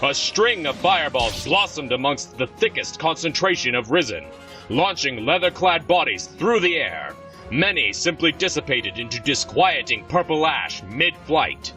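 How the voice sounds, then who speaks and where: A man's voice: fairly high pitch, very animated, normal speed, far from the mic, over music, rough recording. A man giving scripted, dramatic narration for a fantasy story.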